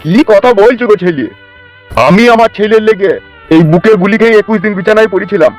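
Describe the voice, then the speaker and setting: A man's voice: high voice, fast talker, lightly acted. A man voicing a worried, resigned old village father in a cartoon, plaintive and placating. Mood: defensive, resigned. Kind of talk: cartoon melodrama. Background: music.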